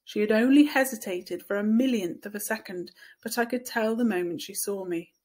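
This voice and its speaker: A woman's voice: mid-range voice, normal speed, a little mellow. A woman reading a children's novel aloud as first-person scripted narration, like a teacher reading to pupils.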